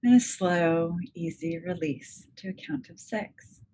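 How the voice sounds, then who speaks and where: A woman leading a guided meditation as a psychologist, speaking in a gentle, measured, soothing manner with deliberate pauses. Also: lowish voice, slight background noise, rough recording. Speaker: clinical psychologist guiding a meditation. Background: music.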